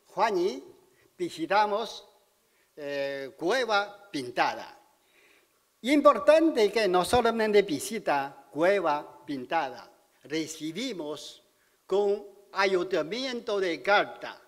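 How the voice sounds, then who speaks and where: Older chinese-accented guy giving a speech at a podium, slow and broken, hall echo. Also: high-pitched. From China. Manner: halting, simple broken Spanish with pauses. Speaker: community speaker at civic event. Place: large auditorium stage. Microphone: podium microphone. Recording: hall reverb.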